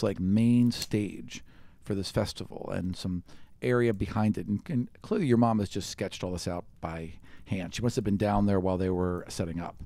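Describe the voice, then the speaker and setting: A man's voice: on the low side, dark tone, no echo. A man narrating an improvised tabletop game as game master, in an easygoing, agreeable way.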